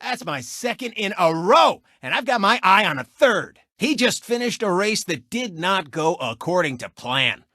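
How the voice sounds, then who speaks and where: A man's voice: sits high, sing-song, moderate pace, few pauses, sharp tone, dry recording, studio quality, exaggerated voice. A man voicing a cocky, gloating race car turned sportscaster in a video game, with a smug, mocking, theatrical showman's delivery. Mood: mock sympathy. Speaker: sports show host and racer. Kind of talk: exaggerated sportscast commentary and race taunts.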